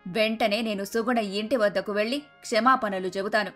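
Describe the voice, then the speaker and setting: A woman's voice: medium pitch, steady tone, moderate pace, fairly bright tone, lightly acted. Emphatic older mother-in-law played by a woman. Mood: remorseful. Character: haughty older mother-in-law, fussy, later humbled. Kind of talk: Telugu animated moral story dialogue. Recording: music under the voice.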